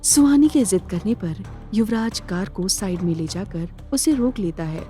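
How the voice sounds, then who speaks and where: A woman narrating a scripted audio drama in a measured, dramatic storytelling manner. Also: mid-range voice, lively intonation, warm tone, soft background music.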